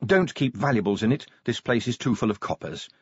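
A man voicing a brisk, world-weary, irritable female police sergeant in an audiobook. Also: medium pitch, lively intonation, husky, no echo, lo-fi sound. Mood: brisk, awkward. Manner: brisk, matter-of-fact.

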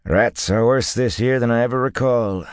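A man's voice: fairly low pitch, expressive, unhurried, dry recording, studio quality, voice pushed up. A man voicing a wiry, shrewd stable-keeper in an audiobook, with a deferential, bland salesman's patter. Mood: grumbling. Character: wiry, leathery, shrewd male stable-keeper selling horses. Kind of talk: audiobook character dialogue.

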